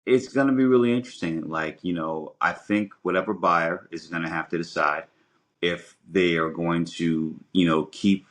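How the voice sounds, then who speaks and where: A man's voice: low-pitched, a bit bright. A man co-hosting a podcast, talking casually and emphatically, often repeating words as he works through his point. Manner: emphatic, repeats words, halting rambling delivery. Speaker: podcast co-host. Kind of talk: casual opinionated discussion.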